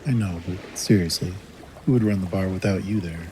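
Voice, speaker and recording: A man's voice: low-pitched, brisk, dark tone, close to an everyday voice. A man playing a cautious but affectionate character, understated in delivery, in an audio drama. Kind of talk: naturalistic audio drama acting. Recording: clear ambient noise behind.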